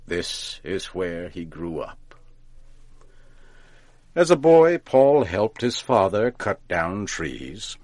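A man narrating a folk tale from a script, deliberately and with careful enunciation, as if for learners. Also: deep voice, a little mellow, no room sound. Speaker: storyteller, narrator.

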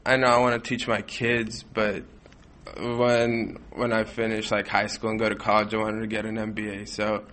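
A seventeen-year-old boy, a student, speaking haltingly with "like" fillers. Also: lowish voice, monotone, fairly bright tone. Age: teens. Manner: halting, uses "like".

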